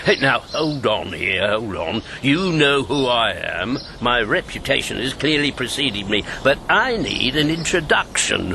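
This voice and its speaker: Old whisky-loving charmer, jovial, theatrical, animated pitch, radio play, noisy background. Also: male voice, unhurried, hardly any pauses. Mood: flirtatious, wry. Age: 70s. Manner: chuckling, roguish, theatrical. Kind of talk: radio drama acting. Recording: radio drama with clear background noise.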